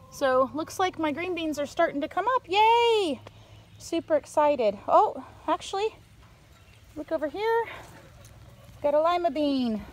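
A middle-aged woman giving a casual, rambling, unscripted vlog narration from behind a handheld phone outdoors on a farm. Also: high voice. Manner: chatty, rambling while showing things around. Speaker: homesteader filming updates. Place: outdoors around a farmyard, coops and pens. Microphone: handheld phone, speaker behind camera. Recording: noisy outdoor phone recording with animal sounds. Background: farm ambience and animal sounds.

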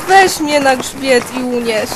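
A woman's voice: sits high, expressive, slow, heavy background noise. A woman playing a frightened young hare begging its friends for rescue, in an amateur radio play. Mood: pleading, frightened. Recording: homemade recording over loud music.

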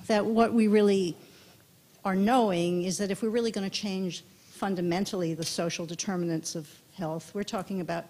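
Audience member, female: lowish voice, probing and reflective, at a floor stand mic. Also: darkish tone. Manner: probing, thinking aloud. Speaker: audience member asking a question. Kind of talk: audience question. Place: auditorium aisle.

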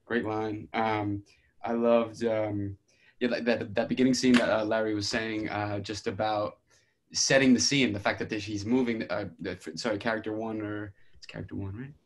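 A man's voice: lowish voice, flat delivery, moderate pace. A man giving casual feedback during a group video call. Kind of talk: casual chat. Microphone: video call microphone.

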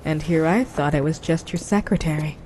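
Woman acting low-voiced, wry secretary, noisy background. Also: brisk, warm tone, close to an everyday voice. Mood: teasing. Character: poised, teasing female secretary. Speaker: actor. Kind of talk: audio drama acting.

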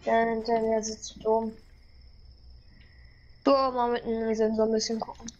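A woman's voice: on the high side, flat delivery, normal speed, a little mellow, muffled recording. Noisy recording of a hesitant woman gamer. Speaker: video game player. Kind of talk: casual in-game chat. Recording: clearly noisy game chat audio.

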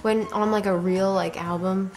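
A woman's voice: mid-range voice, fairly even intonation, normal speed, darkish tone, soft background music. Giggly young woman singer, chatty. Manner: giggly, talkative, says 'like' often. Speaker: singer hosting a live show. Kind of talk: casual live-stream chat. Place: indoor studio room with piano and guitar.

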